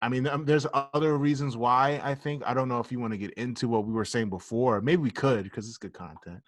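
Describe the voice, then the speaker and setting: A man co-hosting a laid-back podcast, blunt and jokey, with curses dropped in freely. His voice sits at an ordinary middle pitch. The recording is close and dry, with no room sound around it. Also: fairly even intonation, brisk, no echo. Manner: blunt, joking, swears freely. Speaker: podcast co-host. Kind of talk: casual podcast chat.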